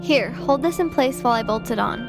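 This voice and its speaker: A woman playing an eager, curious young crewmate in a sci-fi audio drama, quick to react. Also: on the high side, expressive, over music. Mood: excited.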